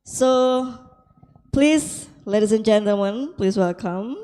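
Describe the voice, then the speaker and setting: Slow-speaking woman moderator in a hall. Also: fairly high pitch, mellow. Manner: slow, careful, halting announcing. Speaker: conference moderator. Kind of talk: formal introductions.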